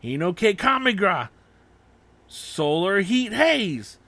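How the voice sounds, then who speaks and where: Man acting an earnest young swordsman hero, bright-voiced. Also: high voice, very animated, slow, dry recording, voice pushed up, exaggerated voice. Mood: battle cry.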